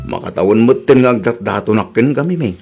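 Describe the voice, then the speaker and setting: An actor playing a boastful, scheming young suitor in a comedy radio drama. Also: male voice, medium pitch, expressive, fast talker, vocal fry, loud music behind the voice. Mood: smooth-talking. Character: boastful, scheming young suitor lying about his job.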